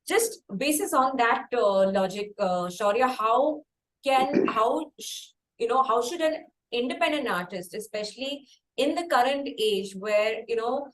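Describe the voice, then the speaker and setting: A woman's voice: fairly high pitch, lively intonation, a little slow, poor audio. A woman with an Indian accent hosting a conversational interview, phrasing questions in a wordy, hesitant, circling way. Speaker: interviewer, music show host.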